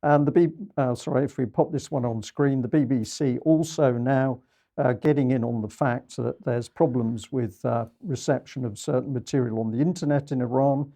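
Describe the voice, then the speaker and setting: A male news presenter with a gravelly, very dark voice. He comments on clips in a pointed, critical way, stressing his key points. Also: mid-range voice, steady tone, normal speed.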